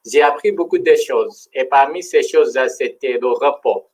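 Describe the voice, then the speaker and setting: Man, non-native speaker, live-stream host, casual chat, frequent laughter, halting restarts. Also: medium pitch, a little mellow. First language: not French. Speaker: co-host of a livestream.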